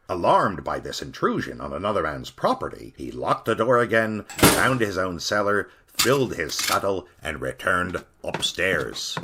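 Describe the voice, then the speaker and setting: A man narrating a Victorian ghost story in an expressive, dramatic reading. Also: low voice, very noisy.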